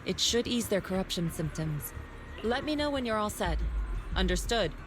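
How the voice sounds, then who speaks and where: A woman's voice: medium pitch, expressive, normal speed, noisy background, lightly acted. A woman voicing a composed, commanding martial arts master who reassures her pupils, in an anime game. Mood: calm, commanding.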